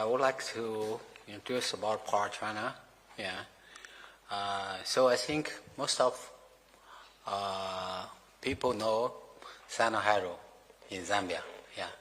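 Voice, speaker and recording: A man giving formal remarks as a company executive in halting English, full of fillers and grammar slips. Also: lowish voice, a bit bright, a little hiss or hum. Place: formal meeting room. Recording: reverberant meeting hall.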